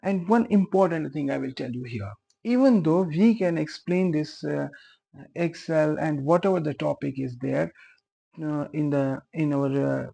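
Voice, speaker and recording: Slow, gravelly, husky-voiced man teaching. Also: on the high side, mellow. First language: Urdu. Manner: earnest, repeats phrases, many fillers. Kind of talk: explaining a tutorial.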